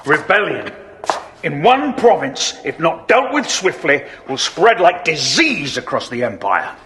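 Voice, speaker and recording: Forceful, hawkish general. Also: male voice, on the high side, very animated, a little slow, a little hiss or hum, exaggerated voice. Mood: stern, urgent. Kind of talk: radio drama acting.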